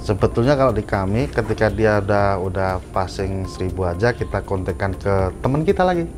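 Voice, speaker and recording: A man explains things in a casual conversation. He is agreeable, often confirming, and slips in English technical terms. Soft music sits behind him. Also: on the low side, lively intonation, a little fast. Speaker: air traffic controller guest. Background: faint background music.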